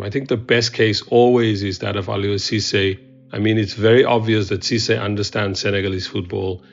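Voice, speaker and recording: A man's voice: on the low side, vocal fry. A man giving conversational, explanatory analysis as an expert in an interview. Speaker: expert commentator. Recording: dull, lo-fi interview audio.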